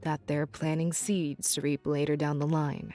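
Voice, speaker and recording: A woman's voice: sits low, level tone, normal speed, mellow, soft background music, close to an everyday voice. A woman voicing an earnest young seer, devoted to the spirits, in a visual novel game, explaining things with assurance.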